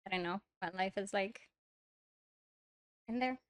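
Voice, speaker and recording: A young woman from Morocco, a native Arabic speaker with an accent, chatting as a podcast guest in a hesitant, soft manner full of fillers and small laughs. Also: mid-range voice, measured pace, clear, resonant tone. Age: young adult. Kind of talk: casual interview chat. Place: small indoor studio, on a couch. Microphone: lavalier mic.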